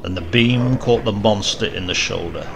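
A man giving a dramatic reading of a horror story, often dropping to a hushed whisper. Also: on the low side, moderate pace, vocal fry, music in the background. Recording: loud steady hiss behind the voice.

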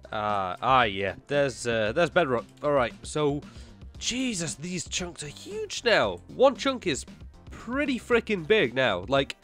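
A man's voice: on the high side, big pitch swings, slow, a bit bright, faint music behind. A young man with a slightly nasal voice from a cold, casually commentating a gameplay video and chatting to himself. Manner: chatty, jumpy, talks to himself and the game. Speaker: gaming YouTuber.

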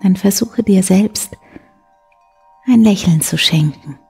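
A woman in her forties hosting a bedtime show, guiding the listener gently and soothingly with a soft, breathy voice. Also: medium pitch, slow. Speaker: host of a bedtime story show. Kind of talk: guided sleep meditation, soft bedtime storytelling. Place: indoor room beside a wood stove. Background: soft music.

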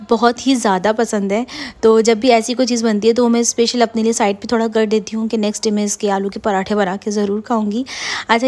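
Chatty woman narrating her kitchen vlog. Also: fairly high pitch. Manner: chatty, rambling, with frequent fillers and soft laughs. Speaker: vlogger. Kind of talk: casual voice-over narration of a cooking vlog. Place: home kitchen.

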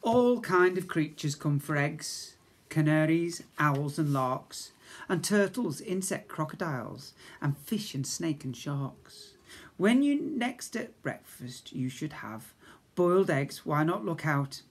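A man's voice: a little high, expressive, slow pace. A British man in his fifties, a schoolteacher, reading comic rhymes to young children expressively, with chatty asides. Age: 50s. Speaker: infant school teacher. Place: small indoor school office. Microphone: device camera at arm's length.

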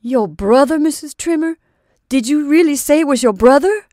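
A woman voicing an earnest old male sea captain who talks in loud, salty seafaring phrases. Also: mid-range voice, normal speed, dry recording, studio quality, natural voice.

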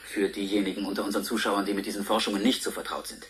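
An actor playing a polite science presenter in a radio drama. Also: male voice, fairly low pitch, flat delivery, very fast, a bit bright, lightly acted.

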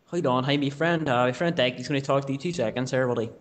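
Fast-talking Derry man, prank caller. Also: mid-range voice. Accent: Northern Irish, Derry. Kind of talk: mock-serious prank phone call. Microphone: telephone call.

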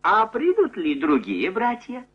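A sly little cobbler from a cartoon folk tale, high-pitched and swooping. He talks in an eager, exclamatory singsong, on a muffled old film track. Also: wildly swooping pitch, unhurried, breathy edge, heavily acted. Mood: gleeful. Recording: dull old film soundtrack.

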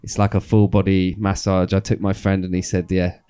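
A man in his thirties co-hosting a radio show, chatting in a relaxed way about dance music in a small studio, talking into a handheld mic. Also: deep voice, fairly quick, smooth. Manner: relaxed, laughs often, rambling with restarts.

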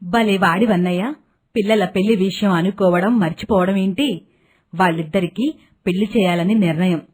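A woman's voice: medium pitch, normal speed, a little mellow, husky, lightly acted. A woman playing a kindly, naive middle-aged village mother who talks in a chatty, affectionate way. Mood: agreeable, assuring.

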